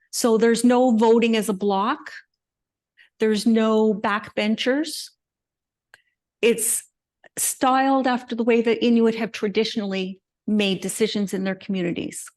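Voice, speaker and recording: An older woman, a native English speaker, giving a conversational slide lecture with frequent pauses and asides. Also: fairly high pitch. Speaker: guest lecturer. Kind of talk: slide presentation talk.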